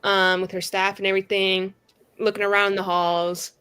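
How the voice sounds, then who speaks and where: A woman casually improvising her character's actions as a player in a tabletop game, a bit halting. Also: medium pitch, little pitch movement, sharp tone, smooth.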